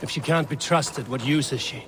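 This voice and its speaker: An actor playing a stoic Viking warrior in a video game, speaking in a terse, direct and dry manner. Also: male voice, medium pitch, normal speed, noisy background, close to an everyday voice. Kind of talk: video game cutscene acting.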